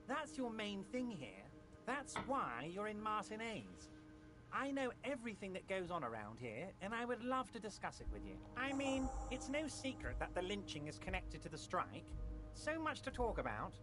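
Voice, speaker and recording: A woman's voice: medium pitch, big pitch swings, moderate pace, lightly acted. A woman voicing a smug, talkative large male union boss with an oily, reassuring manner. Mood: eager. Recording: music playing behind.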